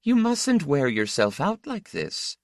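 Casting: a man to play an elderly storybook mother, pitched high, soft and exultant, soothing with a possessive pull. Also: huge pitch swings, takes it slowly, creaky, dry recording, studio quality, full character voice. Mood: soothing concern.

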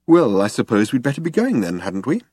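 A mild, hesitant man, as a male storybook reader plays him speaks in a middling pitch. He sounds anxious and politely tentative, like an ordinary person out of his depth. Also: very animated, brisk, darkish tone, breathy edge, dry recording, clean studio sound.

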